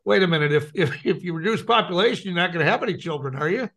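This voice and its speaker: A man's voice: sits high, animated, racing, fairly bright tone, a little hiss or hum. A man co-hosting a radio show, bantering casually and reading out offbeat news.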